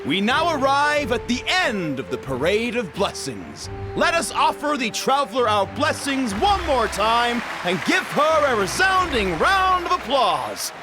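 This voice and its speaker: A man playing an exuberant, ceremonial festival announcer in a video game, proclaiming loudly. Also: very high-pitched, sing-song, exaggerated voice. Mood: jubilant, ceremonial. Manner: slow, proclaiming. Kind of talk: English video game voice acting. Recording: loud music and crowd. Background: music, cheering crowd.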